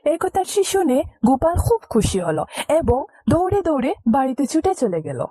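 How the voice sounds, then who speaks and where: A woman's voice: high voice, big pitch swings, normal speed, mellow, a little hiss or hum. A woman narrating a scripted moral story for children as a storyteller.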